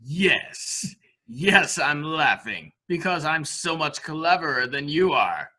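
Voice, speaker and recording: A man's voice: a little high, big pitch swings, slow pace, sharp tone, heavily acted. A man playing a childlike, dangerously unhinged killer in a murder mystery drama, boasting in a sing-song way with repeated phrases. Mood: smug, secretive. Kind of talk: amateur murder mystery audio drama acting.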